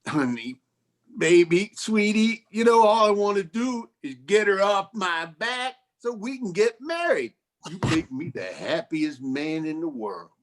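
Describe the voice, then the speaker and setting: An actor playing a glib, wisecracking 1940s private detective in a campy noir radio play. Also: male voice, high voice, sing-song, a little slow. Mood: sweet-talking, pleading. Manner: glib, slangy.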